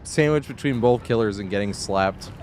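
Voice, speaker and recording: A man's voice: fairly low pitch, moderate pace, a little mellow, slight background noise. A man live-streaming a game, giving laid-back, casual commentary with some swearing and talking half to himself.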